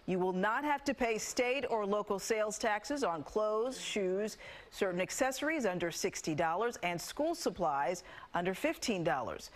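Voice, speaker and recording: A woman's voice: mid-range voice, lively intonation, normal speed. A woman with an American accent reads scripted TV news as an anchor. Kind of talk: scripted newscast narration.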